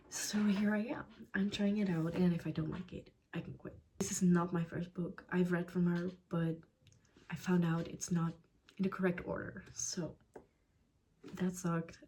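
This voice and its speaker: A woman's voice: on the low side, fairly even intonation, moderate pace, dark tone, a little hiss or hum. A young Dutch woman giving a casual, blunt book review in English with a Dutch accent. She swears freely and often drops into a whisper. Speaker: book vlogger.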